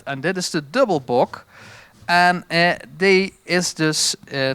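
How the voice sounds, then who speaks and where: A man co-hosts a podcast in a Limburgish Dutch accent. His voice is a bit higher than average and recorded very dry. He chats informally and haltingly, pausing as he goes. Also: fairly bright tone, clear, resonant tone, no room sound. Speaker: podcast co-host. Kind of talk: casual chat.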